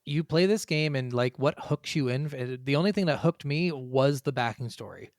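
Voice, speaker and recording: A man's voice: mid-range voice, normal speed, dry recording, clean studio sound. A man co-hosting a gaming podcast in a casual, blunt way, swearing freely as he chats. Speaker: podcast co-host.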